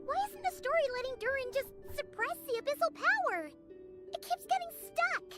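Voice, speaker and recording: A woman voicing a tiny, excitable, anxious childlike companion in a video game, with a squeaky voice. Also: a little fast, over loud music. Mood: worried, alarmed.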